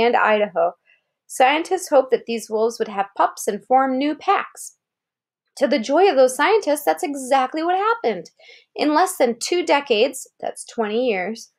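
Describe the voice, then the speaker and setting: Female, higher-pitched voice, read-aloud narration for a young listener, explanatory delivery with casual asides. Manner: explanatory, pausing to gloss words and point out pictures. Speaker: reader presenting an article aloud to a child.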